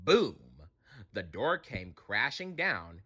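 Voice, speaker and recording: A man's voice: on the low side, very animated, takes it slowly, bright, forceful tone, husky, dull audio. A man reading a children's story aloud expressively, adding wry asides as storyteller.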